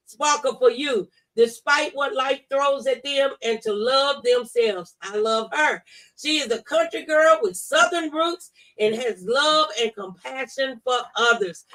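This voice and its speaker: A woman with a high, bright voice hosts a casual radio talk show. She chats freely and backs up her guest with affirming interjections, recorded in a studio. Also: poor audio.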